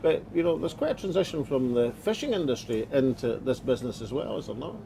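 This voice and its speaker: A man's voice: medium pitch, lively intonation, fast talker, gravelly, mellow, slight background noise. A man hosting an interview, steering with leading questions and tag questions, stumbling into restarts. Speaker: host and interviewer. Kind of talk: conversational interview. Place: on a small boat offshore.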